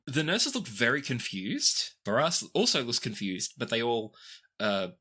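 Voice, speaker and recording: A man with a bright, forward voice of medium pitch narrates as game master. He addresses the players directly as he tells the story of a tabletop role-playing game. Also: huge pitch swings, unhurried, husky. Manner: second-person storytelling.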